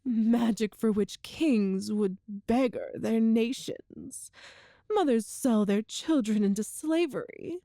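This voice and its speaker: A woman playing a cruel, seductive empress in a dramatic ASMR roleplay, with haughty, theatrical, deliberate phrasing. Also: on the high side, mellow, no echo, clean studio sound. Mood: storytelling, beguiling. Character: cruel imperious empress, seductive, menacing tyrant.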